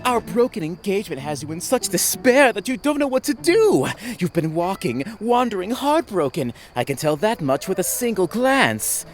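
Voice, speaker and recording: A man's voice: sits high, big pitch swings, moderate pace, hardly any pauses, faint music behind. A man playing a vain, self-righteous young crown prince in an anime fandub, with a pompous, declamatory and condescending delivery. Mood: smug pity. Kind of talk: theatrical anime fandub acting.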